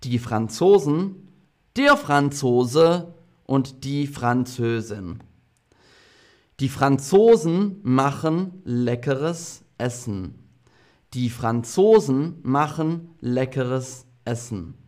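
A man teaching a slow classroom language lesson to a group of students, repeating each word and phrase clearly for learners. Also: mid-range voice, animated. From half German, half British. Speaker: German teacher.

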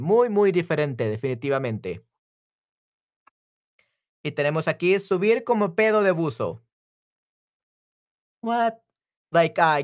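A voice actor with a Salvadoran accent giving a casual language lesson, chatty and repeating words slowly, often checking in with the listener. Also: medium pitch. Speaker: Spanish teacher and voice actor. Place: room with some echo.

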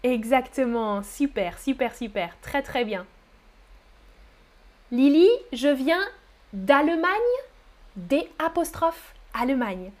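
A woman's voice: high voice, lively intonation, darkish tone. A woman teaching a live French lesson, reading out viewers' chat messages and praising their answers with repeated encouragement. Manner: slow, careful articulation for learners.

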